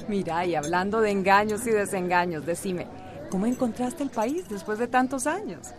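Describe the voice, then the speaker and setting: An actress with a Costa Rican accent playing a talkative, affectionate friend, effusive and teasing, in a radio soap. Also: female voice, medium pitch, sing-song, unhurried, crowd noise. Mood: chatty, delighted.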